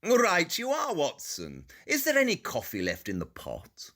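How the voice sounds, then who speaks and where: Casting: superior analytical detective, bright, forceful, very lively intonation, audio drama. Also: male voice, on the high side, unhurried, full character voice. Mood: confident, deductive. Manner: precise, declarative, condescending. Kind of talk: audio play acting.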